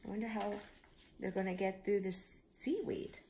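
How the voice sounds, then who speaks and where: A woman reading a picture book aloud to young children, expressive, with simple explanatory asides. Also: medium pitch, normal speed, slight background noise. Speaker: children's storyteller.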